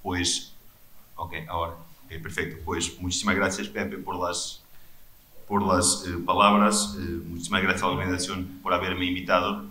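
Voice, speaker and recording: A man's voice: deep voice, little pitch movement, normal speed, bright, forceful tone, a little hiss or hum. Hesitant man, echoey hall. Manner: hesitant, many fillers and restarts. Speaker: keynote speaker. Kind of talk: conference talk. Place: lecture hall. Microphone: microphone.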